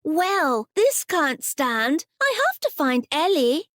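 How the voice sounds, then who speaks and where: A woman's voice: high-pitched, sing-song, slow pace, a bit bright, no echo, studio quality, pitched above the performer's own voice, full character voice. A woman voicing a plucky, anxious little girl in a children's story, earnest and exclamatory. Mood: determined.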